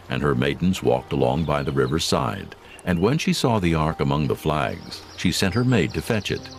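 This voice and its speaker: Extremely deep, gravelly male Bible narrator. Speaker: scripture narrator. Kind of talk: scripted dramatized Bible narration.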